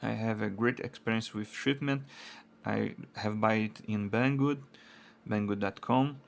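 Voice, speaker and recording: A married man from Brazil whose first language is Portuguese, reviewing an amplifier in halting English with a Brazilian accent and frequent "uh" fillers. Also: fairly low pitch, steady tone, vocal fry, slight background noise. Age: adult, married. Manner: halting non-native English with many uh fillers. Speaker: product reviewer. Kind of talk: casual product review.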